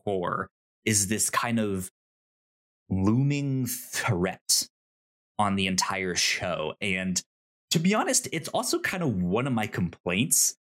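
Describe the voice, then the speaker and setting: A man's voice: lowish voice, slow. A man co-hosting a podcast in casual unscripted discussion, often repeating words and syllables as he goes and acting out imagined dialogue. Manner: animated, acts out imagined dialogue. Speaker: podcast co-host reviewing a TV show.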